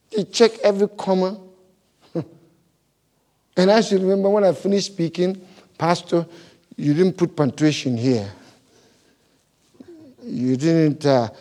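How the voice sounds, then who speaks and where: Animated pastor with a non-native English accent. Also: male voice, high-pitched. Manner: animated, laughing storyteller, big swings in loudness. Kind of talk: sermon.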